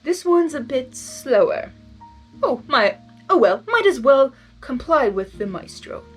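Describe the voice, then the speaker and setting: A woman's voice: high-pitched, very animated, a little slow, soft background music. A woman voicing a playful, nonchalant male chaos monster from a story, teasing and emphatic. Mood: playful, suave. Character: cocky, playful, theatrical male chaos monster courting a pony.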